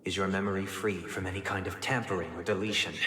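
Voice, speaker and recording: A stern and devout man of authority in a game drama. His low voice rings through a long hall reverb with music behind, and every phrase is formal, exacting and coldly polite. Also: steady tone, fast talker, pushed and bright, a little hiss or hum. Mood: solemn, commanding. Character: stern, immaculate, devout male authority interrogating a suspect. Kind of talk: dramatic video game voice acting.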